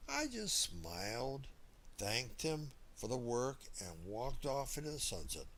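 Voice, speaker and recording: Animated male storyteller with swooping pitch. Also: measured pace, a little hiss or hum, poor audio. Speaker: audiobook narrator. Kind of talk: first-person memoir narration, storytelling read from a script.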